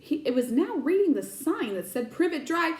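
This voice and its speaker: A high-voiced woman reads a storybook aloud with lots of rise and fall in her voice. She is a teacher, expressive, and she pauses to explain words to her young listeners. The sound carries an echo from the room around her. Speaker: teacher reading aloud to children.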